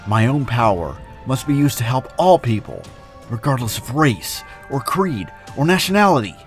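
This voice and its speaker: An actor playing a noble, confident atomic superhero in an earnest, declamatory old-time radio drama style. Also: male voice, medium pitch, sing-song, normal speed, faint music behind, close to an everyday voice. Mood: solemn resolve.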